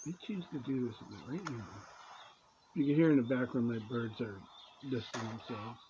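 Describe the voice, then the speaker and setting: A man's voice: medium pitch, moderate pace. Man, reaction host, informal commentary, jokey, a little lo-fi, faint background noise. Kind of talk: casual off-the-cuff reaction commentary. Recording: slightly dull sound, faint noise.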